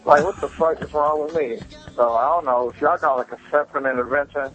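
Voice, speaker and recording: A young man calls in with frank, self-mocking stories full of swearing. The sound is a dull, lo-fi voicemail, with music clearly under his voice. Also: fairly high pitch, a little fast, a bit bright. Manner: candid, self-deprecating, profane. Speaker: listener calling a podcast voicemail. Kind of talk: casual personal storytelling.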